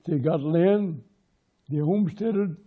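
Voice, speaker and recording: Man, first language Lakota, oral history storyteller, unhurried pace, short plain sentences. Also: a little high, husky, phone-quality sound. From Pine Ridge, South Dakota. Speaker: interviewee, local historian.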